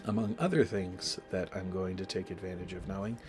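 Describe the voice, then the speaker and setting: A low-pitched man hosts a casual gaming video with a slight cold in his voice. He explains things deliberately, stopping and restarting, over clear background music. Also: steady tone. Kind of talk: casual let's-play commentary with in-character recaps. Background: game music.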